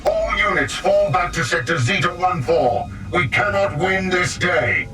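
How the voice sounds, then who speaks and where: An actor playing a steadfast space marine sergeant, giving authoritative, clipped military orders in a video game. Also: male voice, high-pitched, expressive, a little slow, distant mic, music in the background. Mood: urgent command.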